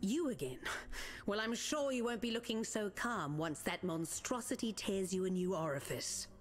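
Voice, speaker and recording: A woman's voice: mid-range voice, moderate pace, lightly acted. Taunting woman acting a villain over faint music. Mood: gloating threat. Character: scornful, gloating female villain. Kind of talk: scripted fantasy game dialogue.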